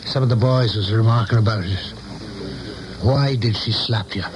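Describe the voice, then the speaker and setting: An actor in a 1970s radio play, playing an older saloon keeper who is folksy, shrewd and philosophical with a loyal friend. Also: male voice, fairly low pitch, moderate pace, crowd noise, close to an everyday voice. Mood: folksy, probing. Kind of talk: 1970s radio drama acting. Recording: dull vintage radio broadcast, music behind.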